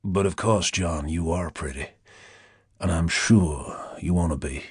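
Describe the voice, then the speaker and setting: A man's voice: deep voice, a little slow, breathy edge, no echo, clean studio sound, pitched below the performer's own voice. A man voicing a sly, menacing American seducer with a languid, mocking Southern drawl and a velvety, whispery purr. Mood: silky taunting. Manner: lazy drawl, mocking and insinuating.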